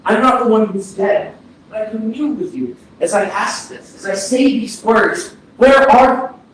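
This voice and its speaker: A man playing a haunted, grieving man of almost thirty in a staged reading, speaking earnestly and haltingly as he pleads with the dead. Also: high-pitched. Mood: anguished searching. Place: indoor arts center stage, recorded from afar. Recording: very echoey, distant hall, faint noise, processed.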